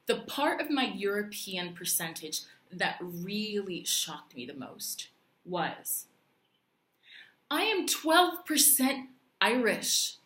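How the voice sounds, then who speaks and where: A woman from Puerto Rico vlogging in a chatty, animated way, with lots of fillers and restarts. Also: medium pitch. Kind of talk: casual talk to camera. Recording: echoey room.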